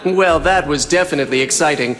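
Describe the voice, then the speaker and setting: A man's voice: on the high side, fairly bright tone. A male actor voicing a gleeful, breezy, amused schemer in a video game cutscene. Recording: long reverb, faint music behind.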